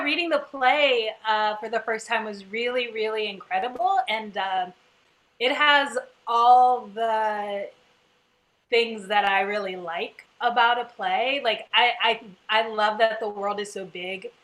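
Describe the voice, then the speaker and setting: Bright, high-voiced woman chatting on a panel. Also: slow, rough recording. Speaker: actor, panelist. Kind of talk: casual panel chat. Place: online video call.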